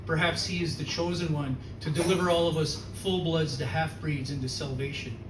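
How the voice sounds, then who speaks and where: A man's voice: fairly high pitch, fairly even intonation, a little fast, far from the mic. A man from South Dakota performing spoken word poetry with a rhythmic, dramatic delivery and a sardonic humor. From South Dakota, USA. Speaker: featured poet. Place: poetry reading venue, indoors. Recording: echoey room recorded from afar, noisy.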